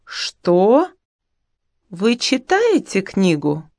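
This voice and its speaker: Friendly student Luda, slowly. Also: female voice, medium pitch, no echo, clean studio sound, heavily acted. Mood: plain. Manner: clearly enunciated, even. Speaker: dialogue reader in a language course. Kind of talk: slow, clear textbook dialogue reading.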